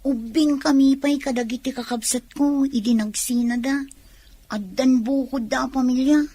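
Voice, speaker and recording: A woman's voice: high-pitched, steady tone, normal speed, dark tone, husky. A woman playing a wistful young student in a radio drama, earnest and confiding.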